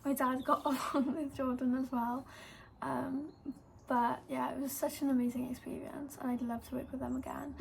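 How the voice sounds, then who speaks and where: A woman's voice: high-pitched, little pitch movement, moderate pace, creaky, slight background noise. An eighteen-year-old woman with a Welsh accent, casually telling a story to camera, full of "like" and "um". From Wales. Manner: chatty, lots of "like" and "um". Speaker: vlogger.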